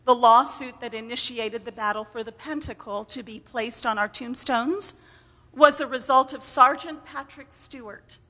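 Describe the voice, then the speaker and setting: A woman's voice: a little high, sharp tone. An American woman giving an emphatic, theatrical dramatic reading of a first-person story. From United States. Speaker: reader at a storytelling event. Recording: reverberant hall.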